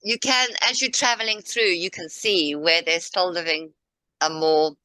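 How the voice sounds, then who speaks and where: A woman's voice: fairly low pitch, fairly even intonation, unhurried, sharp tone, no echo. A woman from Africa, chatting casually as co-host and rambling through history while pointing at a map. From South Africa. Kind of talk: casual discussion.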